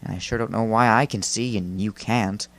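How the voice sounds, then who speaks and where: A man voicing a friendly, earnest boy spirit in a story, soft-hearted, hesitant and patient. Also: lowish voice, steady tone, normal speed. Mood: earnest, unsure.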